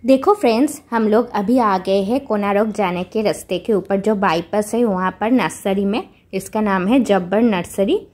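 A woman vlogger with an Indian accent talks casually to her viewers. Her voice sits at an average pitch for a woman. She is chatty and addresses the audience directly as she narrates. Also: moderate pace, hardly any pauses, darkish tone. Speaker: vlog host.

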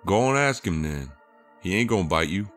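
An actor playing a teasing, folksy drifter with rustic, needling talk in an audio drama. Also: male voice, deep voice, expressive, moderate pace, fairly bright tone, faint music behind. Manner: drawling rustic slang, needling. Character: teasing, folksy drifter living in an old station.

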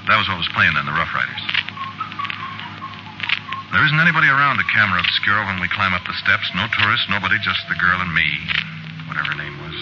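A man's voice: sits low, natural voice. An American man acting as a brooding, guilt-haunted murderer who tells his story in a hesitant, colloquial 1940s radio drama style. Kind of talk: 1940s radio drama first-person narration. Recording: muffled, very noisy 1940s radio recording.

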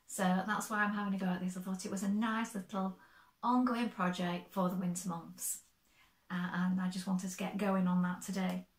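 A woman in her fifties, slightly croaky, chatting step by step through her sewing projects in a bright sewing room. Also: mid-range voice, little pitch movement, moderate pace, reverberant. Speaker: sewing vlogger. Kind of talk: casual show-and-tell.

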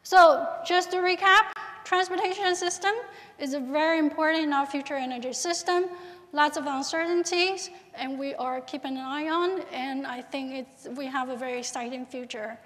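Woman giving a conference talk, quite high voice, halting phrasing, echoey auditorium. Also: fairly bright tone. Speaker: conference speaker, researcher. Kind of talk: conference presentation. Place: large auditorium stage.

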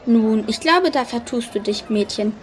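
A woman's voice: a little high, normal speed, a little hiss or hum, lightly acted, low-quality recording. A woman playing a suspicious, authoritative policewoman in a children's audio drama. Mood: sceptical. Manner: stern, authoritative questioning.

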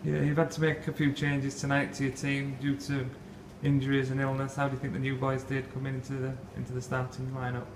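Man interviewing for the club, monotone, echoey and noisy recording. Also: mid-range voice, a little fast, hardly any pauses. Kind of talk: post-match interview questions.

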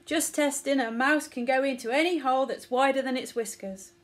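A woman's voice: high-pitched, fairly even intonation, fairly quick, close to an everyday voice. A woman voicing a cheeky, confident little mouse in a children's story, chatty, brash and teasing. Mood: brash, put out.

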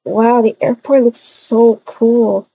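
Slow, hesitant woman, muffled mellow voice. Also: fairly high pitch, fairly even intonation, vocal fry. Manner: hesitant, halting, with many hums. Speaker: roleplay video creator. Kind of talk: improvised roleplay dialogue in a video game. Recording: dull muffled audio, faint noise.

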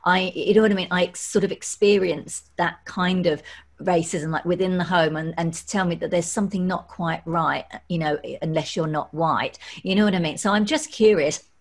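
A middle-aged woman from London hosting an interview podcast, talking in an animated way with lots of hand gestures. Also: on the low side, normal speed. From London, England. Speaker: podcast host. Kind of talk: interview chat. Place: home room, video call. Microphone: studio desk mic on stand.

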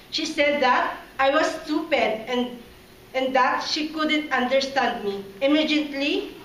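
A young woman speaking English as a second language reads a personal speech in a classroom, slow and careful, with halting restarts. Her voice sits high. The camera picks her up from across a roomy room with a faint hum behind. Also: a bit bright, distant mic. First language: not English. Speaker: student giving a class speech. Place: classroom with whiteboard and timer screen. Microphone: far camera.

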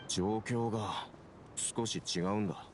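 A man's voice: fairly low pitch, fairly even intonation, takes it slowly, noisy background. A man playing a stern, worried, protective big brother in a Japanese anime game.

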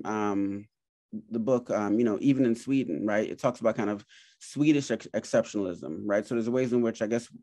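A man's voice: fairly low pitch, darkish tone, no room sound. Middle-aged man, dry close sound, video call, thoughtful academic moderator. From Jamaica. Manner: thoughtful, many fillers and hedges. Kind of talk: academic conversation. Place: home office.

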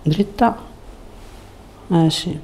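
A man in his thirties speaking as a chiropractor, giving short, gentle, reassuring instructions to a patient in a calm clinical way. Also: fairly high pitch, very slow. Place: indoor chiropractic office. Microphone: lapel mic. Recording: faint background room noise.

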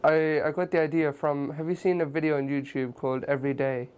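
A man's voice: fairly high pitch, flat delivery, fairly quick, darkish tone, a little hiss or hum. A young man with an English accent chatting loosely in a documentary interview, with many fillers.

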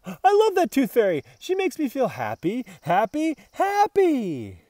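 A man's voice: very high voice, measured pace, dry recording, clean studio sound, voice pushed up, full character voice. A man voicing an eager, earnest pet dog detective who is easily delighted, in a children's storybook. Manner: excitable, earnest. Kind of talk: first-person children's storybook narration.

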